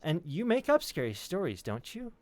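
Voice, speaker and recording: A man's voice: sits high, wildly swooping pitch, moderate pace, a little mellow, pitched above the performer's own voice. A man voicing a serious, precocious young boy in a storybook read-aloud, careful and thoughtful, a bit scared.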